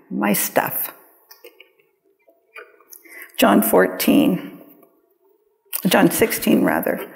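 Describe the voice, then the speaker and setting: An older woman, around her seventies, preaching a sermon in a measured, deliberate, reflective manner. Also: mid-range voice, gravelly, a little mellow. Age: older, around 70s. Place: large church sanctuary, indoors, at a lectern. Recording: reverberant church hall.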